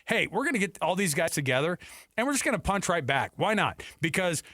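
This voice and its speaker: A man's voice: sits high, very animated, fairly quick, pushed and bright, dry recording. A man hosting a sports radio show, talking casually in a rambling, anecdotal way.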